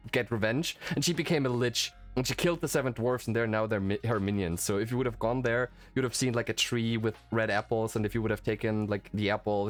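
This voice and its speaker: A man who is a native German speaker chats casually as game master and host after a game, talking in a rambling, enthusiastic way. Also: lowish voice. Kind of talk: casual post-game chat. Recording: faint music behind the voice. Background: faint background music.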